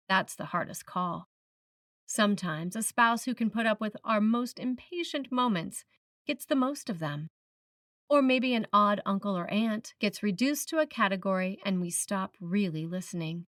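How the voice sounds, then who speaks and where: A woman preacher reading a written sermon in a measured, reflective manner, putting questions to the listener. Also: a little high, no room sound, studio quality, recorded from a distance. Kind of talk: sermon, read from a script.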